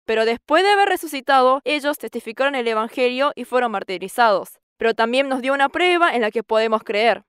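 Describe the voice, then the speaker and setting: A woman in her sixties gives an earnest church testimony on a studio stage, quoting scripture at length. Her voice is high and clear. The sound is dry, close and steady, from a lapel mic. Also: a little fast, few pauses, no room sound. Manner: earnest, quotes scripture at length and acts out dialogue with a raised voice. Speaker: farmer giving testimony.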